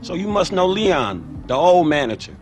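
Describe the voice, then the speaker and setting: Young american street guy in a game, slightly high voice, slangy, skeptical, faint music. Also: very animated, normal speed. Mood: curious. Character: streetwise young man, skeptical heist crew member. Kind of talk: video game cutscene acting.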